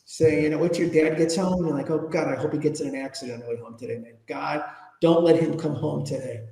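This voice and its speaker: A man in his fifties or older telling personal stories conversationally as an interview guest, informal and animated, often asking rhetorical questions. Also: fairly high pitch, quick pace, gravelly. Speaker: interview guest, public speaker. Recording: echoey room with long reverb.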